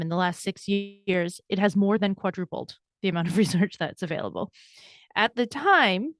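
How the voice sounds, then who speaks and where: Woman, forties, podcast guest, candid, brisk, self-deprecating, dry close sound. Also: lowish voice, no echo. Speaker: guest, burnout coach and speaker. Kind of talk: podcast interview, conversational storytelling.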